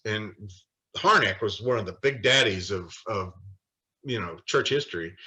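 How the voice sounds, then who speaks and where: Bright-voiced man teaching informally. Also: medium pitch. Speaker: Bible study leader. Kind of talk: informal lecture with personal anecdotes. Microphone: video call.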